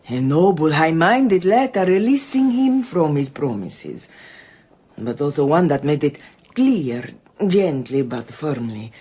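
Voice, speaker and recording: Imperious, haunted countess, radio drama: deep, slow, halting and emphatic. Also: female voice, warm tone, husky, heavily acted. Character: agitated, imperious foreign countess fearing madness.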